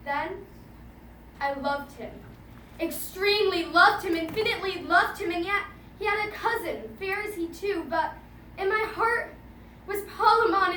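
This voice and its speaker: A lovesick teenage girl, played by a woman on stage, confides in old verse with impulsive emphasis, swinging between loud and soft. The voice is very high and bright, heard at a distance with echo. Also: exaggerated voice. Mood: infatuated. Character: lovesick, impulsive teenage jailer's daughter confiding her longing. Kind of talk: theatrical classical monologue. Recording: echoey, distant mic, faint noise.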